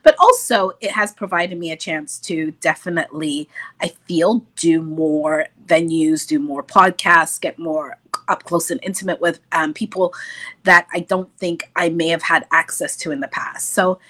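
A woman's voice: low voice, moderate pace, fairly bright tone. A woman guest author, congested from a cold, speaking in a friendly way on a video call and laughing easily. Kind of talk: casual interview.

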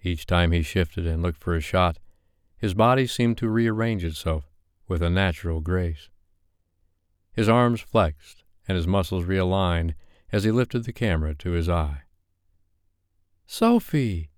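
Deep, smooth male audiobook narrator. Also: measured pace, no echo, clean studio sound. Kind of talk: scripted romance novel narration.

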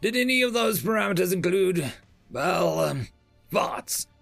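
A man's voice: sits high, very animated, unhurried, creaky, fairly bright tone, dry recording, faint music behind, voice pushed up, heavily acted. A man voicing a blunt, sardonic male military general who fires off curt questions.

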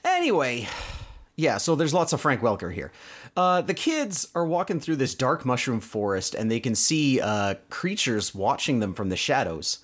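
Man, podcast host, casual episode recap, conversational, explanatory asides, very varied pitch. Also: very animated, moderate pace, a bit bright.

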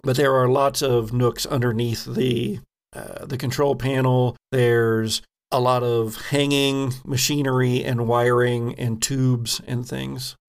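A man serves as game master, improvising scene descriptions for a tabletop game. His pace is slow and unhurried, and his voice has a gravelly fry to it. He builds each description haltingly, piece by piece. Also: mid-range voice, flat delivery.

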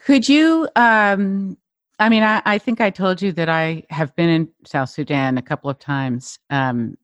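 Middle-aged woman host, thoughtful, halting, close dry mic. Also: lowish voice, no room sound. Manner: reflective, hesitant, searching for words. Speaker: podcast host. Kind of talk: interview.

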